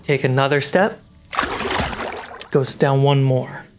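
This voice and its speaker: A game master narrating a tabletop fantasy game in halting, descriptive phrases. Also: medium pitch. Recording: music and rain sounds behind. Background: music, rain effects.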